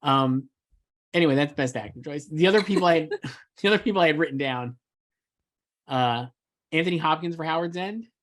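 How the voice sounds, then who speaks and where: A man's voice: on the high side, fairly quick, long pauses. A man co-hosting a casual entertainment discussion, giving emphatic, opinionated takes in an enthusiastic manner. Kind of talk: casual film awards chat.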